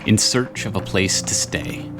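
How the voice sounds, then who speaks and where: A man giving solemn, measured, scripted dramatic narration of a game's story. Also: lowish voice, music in the background.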